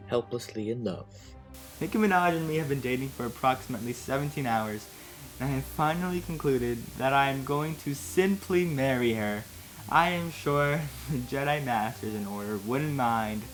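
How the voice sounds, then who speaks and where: A man's voice: mid-range voice, rough recording. Man, casual dramatic reading, amused asides, host, faint music behind. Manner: amused, breaks off into wry asides. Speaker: host reading fan fiction aloud. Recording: music behind the voice, fluctuating quality.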